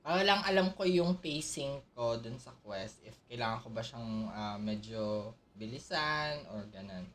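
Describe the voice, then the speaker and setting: Animated Filipino woman streaming a game. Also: very deep voice, normal speed, slight background noise. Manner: reactive, switches between Tagalog and English. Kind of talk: casual livestream game commentary.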